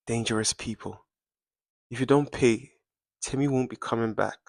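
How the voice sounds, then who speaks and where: A man voicing a frightened, guilty rich teenage girl who stammers haltingly, in a dramatic story. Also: mid-range voice, steady tone, vocal fry, darkish tone, no room sound, clean studio sound, pitched above the performer's own voice, close to an everyday voice. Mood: grim warning.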